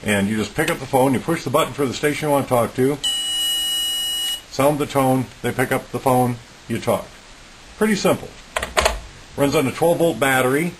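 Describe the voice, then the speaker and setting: A man's voice: medium pitch, normal speed, long pauses. A man giving an informal, methodical technical demonstration as a hobbyist, in a plain-spoken, matter-of-fact way. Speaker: electronics hobbyist presenting a homemade project. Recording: clearly noisy recording with hiss.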